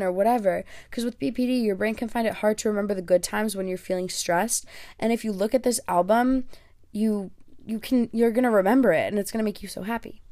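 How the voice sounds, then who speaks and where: A woman's voice: fairly high pitch, darkish tone, dry recording, studio quality. A young woman whose first language is Greek, hosting her podcast in a chatty, self-deprecating way with lots of fillers. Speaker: podcast host. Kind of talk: casual solo podcast talk.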